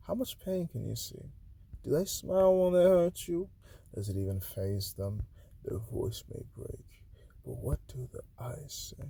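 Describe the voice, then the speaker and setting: Slow, gravelly man reading his poetry. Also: mid-range voice. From Haiti. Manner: uneven delivery, swinging between loud declarations and hushed, breathy asides. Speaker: poet reading his own verses. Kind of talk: spoken-word poetry reading.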